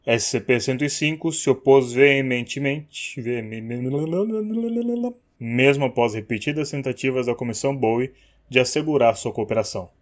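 A man's voice: medium pitch, normal speed. A Brazilian man reading a formal script in outtakes, with casual, crude asides. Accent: Brazilian Portuguese. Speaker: guest voice narrator.

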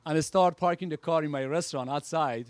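A middle-aged man gives heartfelt thanks into a handheld mic. His voice is a little high, and the sound is dry and close. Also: brisk, no echo. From Iran. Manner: humble, earnest thanks. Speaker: restaurant owner.

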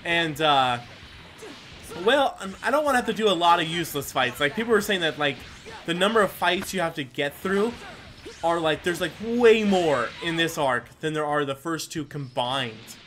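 A man's voice: on the high side, animated, moderate pace, a bit bright, a little hiss or hum. A man giving casual, rambling gameplay commentary as the host, self-deprecating and given to mild swearing. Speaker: gaming video host.

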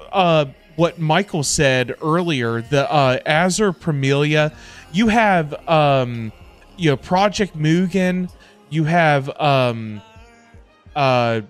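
A middle-aged man chatting casually to livestream viewers in an animated, emphatic way, often repeating himself for emphasis. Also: a little high. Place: indoor home streaming setup. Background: music.

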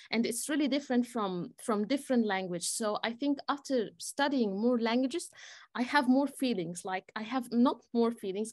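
A woman fields audience questions, talking slowly and hesitantly with many pauses and fillers. Her voice sits a little high. The recording is close and dry with no room sound. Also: no echo. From Egypt. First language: Arabic. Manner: switches between Chinese and English. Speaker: guest speaker answering audience questions. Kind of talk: live Q&A at a student forum.

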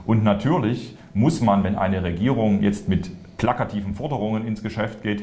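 Male political spokesman, low register, measured and argumentative, audible room echo. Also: darkish tone. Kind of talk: interview answers, political commentary. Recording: roomy echo.

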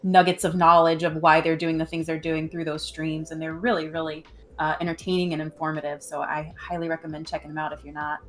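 Female co-host, 30s, slightly low register, casual, enthusiastic gaming chat from a home room. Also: little pitch movement, a little fast, a bit bright. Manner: enthusiastic, informal.

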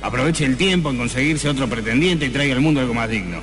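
A man's voice: medium pitch, animated, quick pace, music in the background, lightly acted. A man with an Argentine accent playing a callous, haughty colonial slave owner in a comedic radio play, in long reverb. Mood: smugly cruel. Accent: Argentine Rioplatense.

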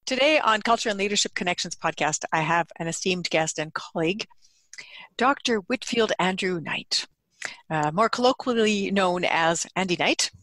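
A woman's voice: lowish voice, animated, normal speed, fairly bright tone, dry recording. Podcast host woman, dry close sound. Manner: admiring, conversational. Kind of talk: podcast interview hosting.

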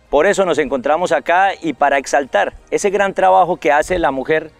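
A man's voice: fairly high pitch, dry recording. A middle-aged Colombian man, an army general, hosting and interviewing in a formal, courteous way. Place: open front of a large military hangar, outdoors.

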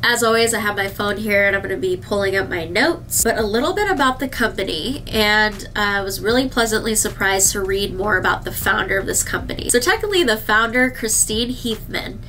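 Bright-voiced woman with a stuffy nose. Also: mid-range voice, fairly quick, hardly any pauses, slight background noise, rough recording. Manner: chatty, upbeat, addresses viewers directly. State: slightly nasal from a cold. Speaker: licensed esthetician reviewing skincare. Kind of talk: casual product review to camera. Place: indoor skincare treatment room.

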